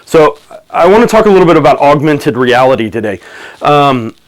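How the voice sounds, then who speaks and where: A man with a slight cold speaks to camera in a casual video, his voice carrying a touch of gravel. He talks in halting stretches, filling pauses with ums and restarting sentences as he explains technology for business. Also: a little high, very animated, rough recording. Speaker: tech commentator. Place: office under renovation.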